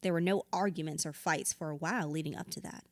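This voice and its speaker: Actress as grieving widow for therapy audio drama: lower female register, reflective, candid, wry, dry studio sound. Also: steady tone, fast talker, darkish tone, dry recording, natural voice. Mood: pained, hesitant. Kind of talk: audio drama acting, conversational.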